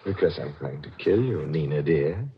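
An actor playing a cold, calculating, gloating killer in a 1940s radio mystery, with a theatrical, deliberate, menacing delivery. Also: male voice, a bass voice, lively intonation, breathy edge, exaggerated voice. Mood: cool menace. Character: cold, calculating, gloating male murderer and schemer. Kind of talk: 1940s radio mystery acting, with first-person narration. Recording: muffled old radio broadcast with music.

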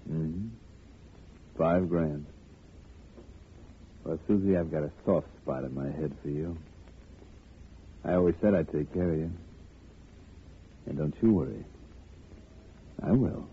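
A man's voice: extremely low pitch, slow, pauses often. An actor playing a slick, slangy male racketeer with a terse, insinuating manner in a 1950 radio drama. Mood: quietly menacing. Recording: tinny, noisy old radio recording.

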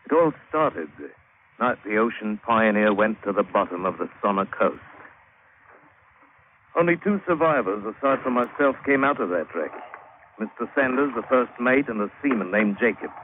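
A man's voice: fairly low pitch, expressive, normal speed, narrow, tinny audio, a little hiss or hum, natural voice. An actor playing an old English seaman with a British accent, telling his haunted adventure in colloquial sailor talk in a 1940s radio drama. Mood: haunted reminiscing. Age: older. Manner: colloquial storytelling with sailor slang.

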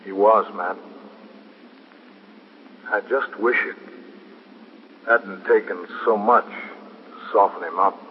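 An American actor playing a firm, sober middle-aged police captain in a 1950s radio drama. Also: male voice, lowish voice, steady tone, slow pace, pauses often. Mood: grave, subdued. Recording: reverberant, dull, hissy 1950s radio recording.

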